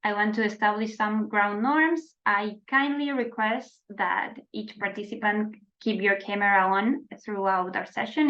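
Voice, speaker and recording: A woman from Argentina moderating an online youth dialogue as a peace educator, speaking formally with frequent pauses and restarts over a video call. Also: mid-range voice, fairly bright tone. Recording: slightly dull video call audio.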